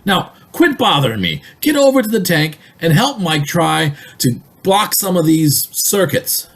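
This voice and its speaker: A man's voice: fairly high pitch, sing-song, unhurried, fairly bright tone, exaggerated voice. A man playing a harried, short-tempered boss in an old-time radio drama, barking orders in exasperation. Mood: exasperated.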